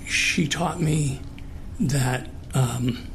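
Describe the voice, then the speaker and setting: Slow-talking American man in his late sixties. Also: medium pitch, gravelly, a bit bright, soft background music, poor audio. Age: 60s. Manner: halting, thoughtful, earnest. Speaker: participant in a recorded one-on-one conversation. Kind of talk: sincere personal conversation.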